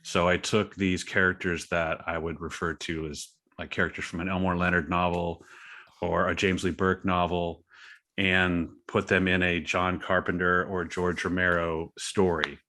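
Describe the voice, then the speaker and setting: A man talking casually as an interview guest about his own creative work, halting and a little self-deprecating. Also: low-pitched, flat delivery, bright, forceful tone. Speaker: guest, creator of a horror thriller story.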